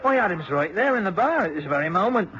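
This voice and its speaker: Dull vintage radio, friendly country landlord, Cornish male, animated, chatty, obliging. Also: sits high, fast talker, full character voice. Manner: folksy, chatty. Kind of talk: mid-century radio drama acting. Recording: dull old radio recording.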